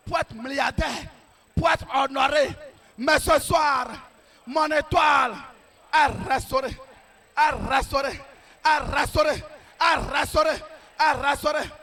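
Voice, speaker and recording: Man, deliverance prayer, shouting, very high gravelly voice, slow repeated commands. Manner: repetitive declarations, fervent commanding tone. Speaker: preacher leading prayer.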